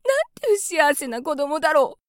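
Woman doing a high tearful mother mouse. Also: big pitch swings, moderate pace, no echo, studio quality. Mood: tearful lament. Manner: pleading, very polite.

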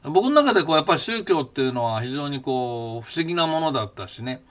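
A man's voice: a little high, lively intonation, fast talker, a bit bright. An older Japanese man hosting a reflective monologue, musing aloud in a rambling way with many fillers and restarts. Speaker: podcast host recounting his past.

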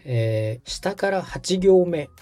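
Man, mellow tone, animated pitch, patient tutorial delivery, polite, background music. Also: takes it slowly. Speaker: host and radio director guiding a setup. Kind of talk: conversational step-by-step tutorial.

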